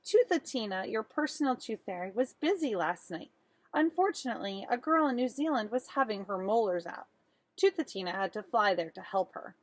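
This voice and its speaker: A woman's voice: medium pitch, no echo. Woman reading as courteous, flowery storybook Cupid. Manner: formal, flowery. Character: courteous, flowery male Cupid writing a letter.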